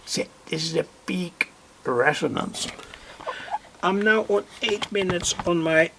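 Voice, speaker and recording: Slow gravelly man at his workbench. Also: sits high, background noise. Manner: rambling, self-correcting, thinking aloud. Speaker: radio hobbyist demonstrating equipment. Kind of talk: casual workbench demonstration.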